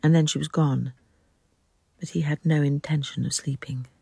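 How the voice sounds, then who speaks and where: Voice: mid-range voice, steady tone, normal speed, gravelly, mellow, slightly rough, dry recording. A narrator telling a radio drama in scripted, dramatic storytelling style.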